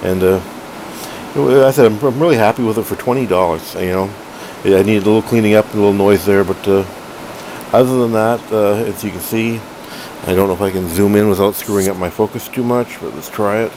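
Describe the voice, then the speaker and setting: A middle-aged man giving a casual, unscripted hobbyist demo at home, halting and rambling as he corrects himself. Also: fairly low pitch. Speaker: hobbyist collector reviewing a radio. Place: room at home, indoors. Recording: noisy room with steady background hum.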